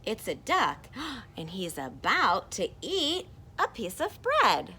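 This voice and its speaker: A woman voicing an eager storyteller who insists the animal is a duck, in a squeaky, excited way. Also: slow pace, slight background noise, full character voice.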